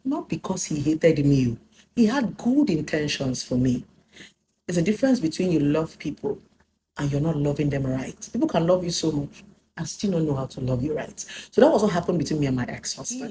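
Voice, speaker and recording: A Nigerian woman in her thirties speaks straight to her viewers in an emphatic, preaching way. Her voice sits fairly low, and she now and then slips into Pidgin. A faint hiss runs under the recording. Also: dark tone, poor audio. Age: 30s. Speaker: relationship speaker. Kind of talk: personal talk to camera.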